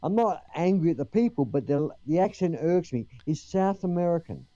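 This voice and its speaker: A man's voice: a little high, lively intonation, moderate pace, mellow, dry recording, dull audio. An Australian man chatting casually with the other people on a livestream call. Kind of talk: casual chat.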